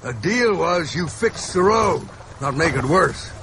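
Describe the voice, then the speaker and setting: A man voicing a gruff, dry-humored old race car from a cartoon. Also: fairly high pitch, animated, unhurried, fairly bright tone, a little hiss or hum.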